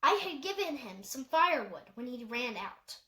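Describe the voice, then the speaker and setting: A woman reading as a calm, wise high-voiced woman. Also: reverberant, exaggerated voice. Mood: musing. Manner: measured, thoughtful, neutral. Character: wise, calm, principled older woman, firm yet gracious.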